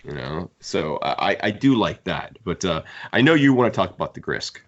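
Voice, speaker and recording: Deep-voiced man chatting on a podcast. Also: very animated, normal speed, dull audio. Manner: opinionated, critical. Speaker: podcast host. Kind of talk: casual book discussion chat.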